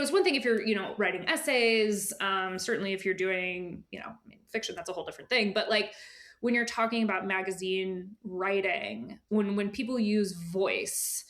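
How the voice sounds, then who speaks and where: A woman's voice: mid-range voice, fairly bright tone. A woman speaking as an editor and guest in a casual interview, informal, often dropping into asides and imagined quotes.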